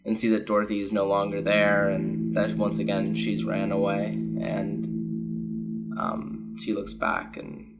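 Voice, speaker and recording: Flat low-voiced young man, music behind. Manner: soft, halting storytelling. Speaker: player. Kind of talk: narrating own character in a tabletop game.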